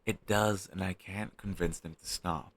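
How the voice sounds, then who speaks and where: A man's voice: sits low, fairly even intonation, fairly bright tone, breathy edge, no echo, full character voice. A man playing a flustered young man shyly hiding a crush on his best friend, speaking hesitantly and sheepishly in an intimate audio roleplay. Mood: embarrassed, exasperated. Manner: hesitant, sheepish, halting conversational delivery. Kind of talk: intimate one-sided conversational audio roleplay.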